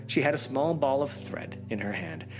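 A man reads a fairy tale for children in an animated way, with dramatic pauses and playful asides. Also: mid-range voice. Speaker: story reader. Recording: music behind the voice.

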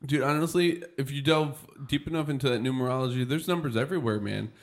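A man co-hosting a casual comedy podcast, rambling with many pauses and filler words. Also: medium pitch. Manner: rambling, halting, lots of fillers and trailing off. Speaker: podcast co-host.

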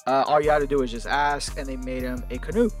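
A man's voice: mid-range voice, steady tone, quick pace, soft background music. A man co-hosting a casual beer podcast, laid-back, laughing often and swearing casually. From Puerto Rican family. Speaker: podcast co-host. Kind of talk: casual chat over beer.

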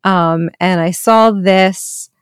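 A woman's voice: fairly low pitch, fairly even intonation, drawn-out words, clean tone, no room sound, studio quality. A woman co-hosting a casual podcast, telling a story in a rambling way with many ums and small laughs. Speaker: podcast co-host. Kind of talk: casual chat.

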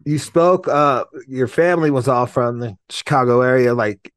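A man hosts an interview at an ordinary pitch. He keeps to short reactions and follow-up questions. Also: normal speed. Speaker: podcast host.

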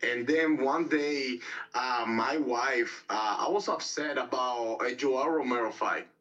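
A man's voice: medium pitch, takes it slowly, a bit bright. A man from Cuba, a mixed martial arts fighter telling his story in a podcast interview, blunt and rambling, repeating words and swearing freely. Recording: dull lo-fi sound, distant from mic.

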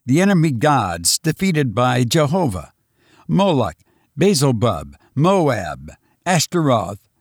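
A man's voice: medium pitch, huge pitch swings, slow, no room sound, clean studio sound, exaggerated voice. A man voicing a frantic, exhausted man who has fled invaders, speaking breathlessly and urgently.

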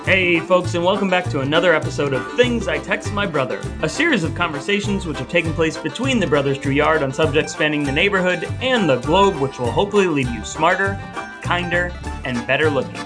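Guy hosting a podcast, voice a bit on the high side, chatty with some scripted bits. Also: animated, fairly quick, music in the background. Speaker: podcast host. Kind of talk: casual podcast banter with scripted intros.

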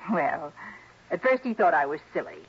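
An actress playing a chatty, warm-hearted, middle-aged wealthy hostess in a 1960s radio drama, with a breathy voice. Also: female voice, low-pitched, very animated, moderate pace, full character voice. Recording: dull lo-fi 1960s radio broadcast.

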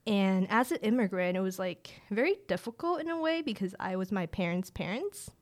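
A woman's voice: mid-range voice, brisk. Chatty woman in her late twenties. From rural China. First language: a Chinese village language. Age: late 20s. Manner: relaxed and chatty, with frequent "like" fillers and restarts. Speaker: guest, director of family empowerment. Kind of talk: casual interview conversation.

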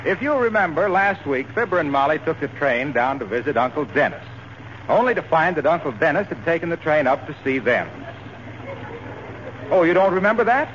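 A man's voice: a little high, expressive, a little fast, noisy background. An American man announcing in a scripted 1940s radio style, on a muffled old broadcast recording.

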